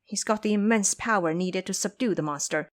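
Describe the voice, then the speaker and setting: A woman's voice: medium pitch, fairly quick, lightly acted. A woman voicing an earnest, pleading young male dragon in horse form for an audio drama. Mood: earnest urging. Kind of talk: audio drama character acting.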